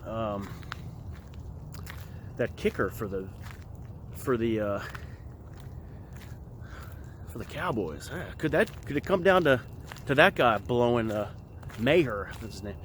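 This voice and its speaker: Hoarse man rambling outdoors. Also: medium pitch, sing-song, fairly bright tone, a little hiss or hum. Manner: rambling, halting with many fillers. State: hoarse from a cold. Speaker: vlogger giving football picks. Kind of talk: casual outdoor vlog chat. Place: outdoors at an empty field in winter.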